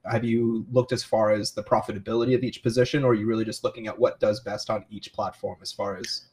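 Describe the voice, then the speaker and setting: A man's voice: lowish voice, flat delivery, quick pace, a little mellow. A man hosting an interview, asking long, searching questions. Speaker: podcast host.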